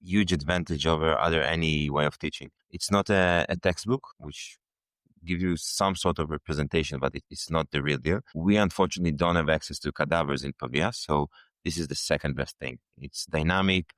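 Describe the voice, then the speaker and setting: A young man from Israel with a very deep voice. He studies medicine and answers thoughtfully, restarting his sentences now and then. His voice is recorded close and dry. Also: brisk, a bit bright, no echo. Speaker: medical student. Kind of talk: interview answers.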